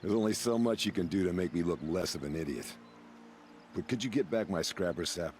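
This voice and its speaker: Deep-voiced, rueful hapless brewer. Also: male voice, animated, a little fast, a little mellow, soft background music. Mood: rueful, relieved. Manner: rueful, self-mocking. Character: hapless self-deprecating male brewer and trader, stranded. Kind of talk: video game dialogue.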